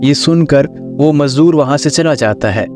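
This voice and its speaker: A man's voice: on the high side, moderate pace. A man reading scripted narration of a children's story as the storyteller. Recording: music under the voice.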